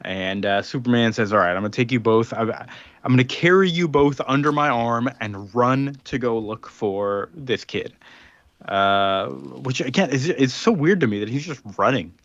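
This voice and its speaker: A man's voice: medium pitch, expressive, normal speed, fairly bright tone, dry recording, dull audio. A man casually recapping a comic book as a podcast co-host, rambling with ums and restarts and acting out quotes.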